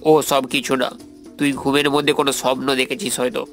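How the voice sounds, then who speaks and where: A man playing a skeptical young friend in an animated horror story, with questioning, theatrical delivery. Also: medium pitch, a little fast, soft background music, close to an everyday voice. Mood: doubtful, puzzled.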